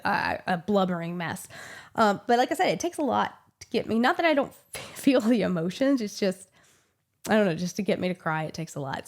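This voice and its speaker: A woman in her thirties hosting a casual book review channel, chatty and gushing, her voice a little off from a small cold. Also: medium pitch. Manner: chatty and gushing, many "like" fillers.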